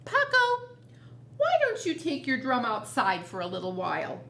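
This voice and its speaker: A woman voicing a patient, weary frog father in a children's storybook, coaxing in a measured way. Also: sits high, wildly swooping pitch, creaky, sharp tone, full character voice. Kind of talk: picture-book read-aloud for children. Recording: roomy echo, noticeable background noise.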